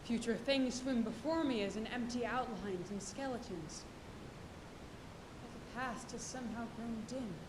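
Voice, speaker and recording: A woman playing a sober, mournful first mate on a whaling ship, speaking solemnly and with measured gravity on stage. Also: close to an everyday voice. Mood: grave, mournful. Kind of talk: theatrical stage acting of a literary tragedy. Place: stage of a college auditorium. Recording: echoey auditorium, clear rumbling noise.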